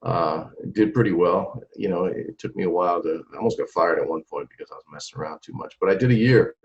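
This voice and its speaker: A man in his forties tells stories in a casual interview, his very low voice rough with gravel. He jumps in eagerly and often restarts his sentences. The recording sounds dull and lo-fi. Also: quick pace. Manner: animated, many restarts and repeated words. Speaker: trumpeter and singer, interview guest.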